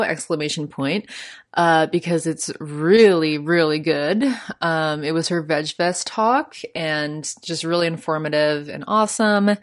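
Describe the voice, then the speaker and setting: A young adult woman with a Canadian accent hosting a solo podcast, chatty and prone to laughing, with lots of fillers. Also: sits low. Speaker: podcast host.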